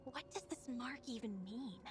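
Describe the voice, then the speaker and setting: A woman's voice: on the high side, expressive, moderate pace, music in the background, lightly acted. A woman playing a spirited, eager young adventurer heroine in a video game, with a cute voice. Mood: impatient.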